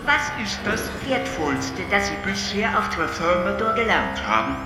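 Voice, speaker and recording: A male actor playing a calm, detached alien who explains things matter-of-factly in a radio drama, at times stuttering on syllables. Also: sits high, measured pace. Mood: detached, explanatory. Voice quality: stuttering, glitchy repeated syllables. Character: detached, matter-of-fact stammering alien being. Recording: music under the voice. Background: music.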